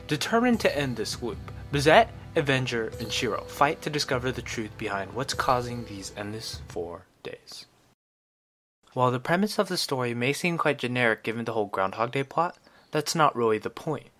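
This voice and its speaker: A man's voice: medium pitch, big pitch swings, moderate pace, a bit bright. A man reading a scripted game review as a narrator. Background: music under the voice.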